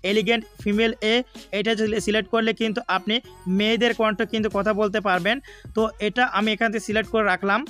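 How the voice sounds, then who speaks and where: A man's voice: high voice, fairly bright tone. A man with a Bangladeshi accent narrating a gaming tutorial step by step, often repeating his points. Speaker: gaming YouTuber. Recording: faint background music. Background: music.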